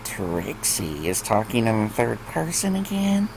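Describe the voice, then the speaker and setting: A man voicing a bratty older sister pony who teases with deadpan sarcasm in a story reading. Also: fairly low pitch, a little slow, creaky, noisy background, heavily acted. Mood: deadpan teasing. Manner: sneering, sarcastic, deadpan teasing. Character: mocking, bratty older sister unicorn filly.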